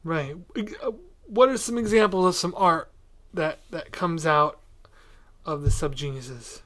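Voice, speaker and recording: Bright, higher-pitched male podcast host. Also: measured pace. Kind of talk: casual interview chat.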